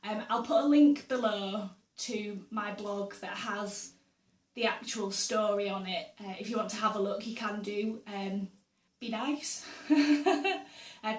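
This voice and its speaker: A young woman chats about her books and her week in a loose, friendly vlog style, full of ums and little laughs. Her voice is a touch hoarse from a sore throat. The sound is roomy and echoey, as if she sits some way back from the mic. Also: mid-range voice, a little hiss or hum. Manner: chatty, with frequent ums and uhs and little laughs. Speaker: book vlogger. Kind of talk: casual chat to camera. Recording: roomy echo, voice a bit far from the mic.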